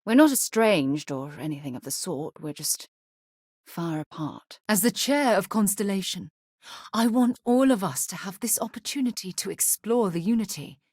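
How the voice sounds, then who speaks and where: A woman's voice: mid-range voice, expressive, moderate pace, darkish tone, husky, no echo, clean studio sound. An actress playing an earnest, principled woman who leads space explorers in a video game, speaking articulately and with composure. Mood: reflective, earnest. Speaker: voice actress for a game companion character. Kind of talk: acted video game dialogue lines.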